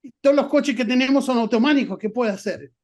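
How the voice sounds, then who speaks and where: Mellow middle-aged man, Argentine Spanish accent. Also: extremely high pitch, quick pace. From not from Argentina. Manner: thinking aloud, many restarts and fillers. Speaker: guest professor. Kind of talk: conversational interview answers.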